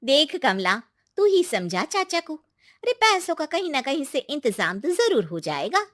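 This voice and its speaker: A woman's voice: high voice, big pitch swings, unhurried, fairly bright tone, dry recording, exaggerated voice. A woman voicing a kindly, secretive young village woman in a cartoon, speaking in an emphatic, reassuring, colloquial way. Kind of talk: Hindi moral-story cartoon dialogue.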